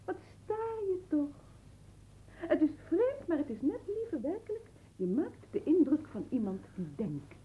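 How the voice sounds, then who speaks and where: An actress playing a vain, capricious marquise in a radio play, with an affected, cooing and haughty manner. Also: female voice, sits high, sing-song, unhurried, mellow, a little hiss or hum, rough recording. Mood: cooing, doting. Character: vain, capricious marquise doting on her caged songbird. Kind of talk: theatrical radio play acting.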